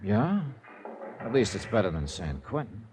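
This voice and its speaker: An actor playing a tough, world-weary insurance investigator in 1950s radio drama style, clipped and terse. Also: male voice, lowish voice, very animated, unhurried, noisy background. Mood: stern. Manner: clipped, terse, hard-boiled. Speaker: radio series lead. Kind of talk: 1950s radio detective acting with clipped first-person narration.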